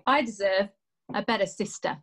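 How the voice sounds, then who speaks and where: A woman's voice: mid-range voice, moderate pace, a bit bright, close to an everyday voice. A woman acting a blunt, sarcastic elder sister who holds a grudge, in a naturalistic drama. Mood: hurt, angry. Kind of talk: naturalistic stage drama dialogue.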